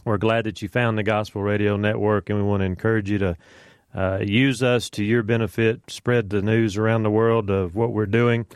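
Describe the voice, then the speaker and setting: A man's voice: fairly low pitch, little pitch movement, normal speed, smooth, no echo, studio quality. A man hosting a morning religious radio show, chatting in a folksy way with many fillers and greeting listeners by name. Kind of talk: casual morning radio chat. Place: radio studio. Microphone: studio microphone.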